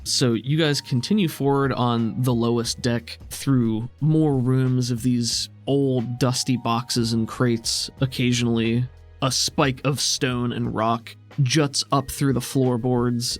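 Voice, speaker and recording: A man running a tabletop game, narrating scenes and talking through rules as game master. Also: mid-range voice. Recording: music under the voice. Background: background music.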